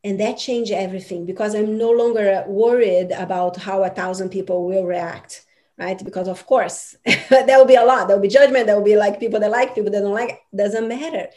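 A woman's voice: medium pitch, fairly quick, dark tone. A woman whose first language is Portuguese, an Akashic records teacher answering interview questions in an earnest, rambling coaching manner with many fillers.

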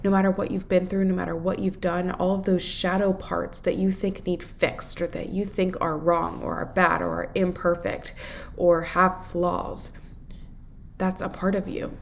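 Congested, gravelly-voiced woman podcasting. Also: fairly low pitch, little pitch movement, moderate pace, a little hiss or hum. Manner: earnest, encouraging, casual. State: congested from a head cold. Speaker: podcast host and self-improvement mentor. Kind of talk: unscripted casual solo podcast talk.

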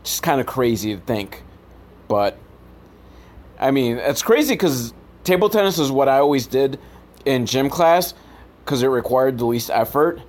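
A man's voice: mid-range voice, darkish tone. A man hosting a podcast, giving a casual, profane and sarcastic comedic recap of films. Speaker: podcast host. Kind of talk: comedic film recap commentary, casual rant.

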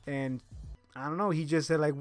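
A man hosting a podcast in casual chat, relaxed and joking, laughing often. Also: on the high side, moderate pace, soft background music. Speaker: podcast host.

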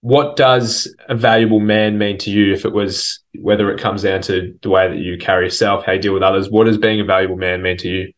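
A man hosts a podcast in a relaxed, matey way, calling his guest dude and man. His voice sits a little low, and he keeps the chat loose and friendly. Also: a little fast, few pauses. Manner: laid-back and matey, says dude and man. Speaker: podcast host. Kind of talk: casual interview hosting.

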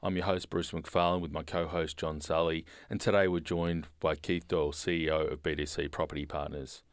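Podcast host guy, really deep voice, jokey, dry close sound. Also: level tone, brisk, clear, resonant tone, no echo. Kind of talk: casual podcast interview.

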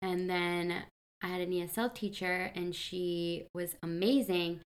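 A young woman from Colombia, a podcast co-host chatting casually and telling stories with excitement. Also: on the low side, unhurried. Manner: animated, excitable storyteller. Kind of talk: casual chat.

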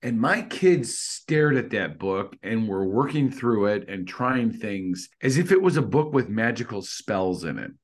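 A man's voice: lowish voice, animated, unhurried, hardly any pauses. A middle-aged man co-hosting a casual discussion, musing tentatively with lots of fillers. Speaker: podcast co-host.

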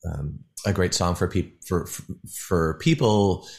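A man, a musician calling in as a guest, talking in a relaxed, rambling way with many fillers over a call. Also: low-pitched, unhurried, creaky. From United States. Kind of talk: casual interview over a call. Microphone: phone or video call.

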